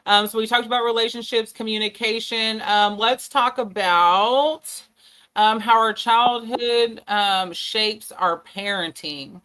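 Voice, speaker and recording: A woman with a bright, forceful voice hosts a casual panel talk. She drawls through her questions with plenty of ums and teases her guests. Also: fairly high pitch, slow, rough recording. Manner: drawling, teasing, with many ums. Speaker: host.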